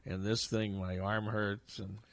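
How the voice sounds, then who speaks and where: A man's voice: on the low side, flat delivery, a little slow. An older man hosting a casual radio sports talk show, congested and talking in a rambling, chuckling way. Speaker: radio host. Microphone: studio broadcast mic.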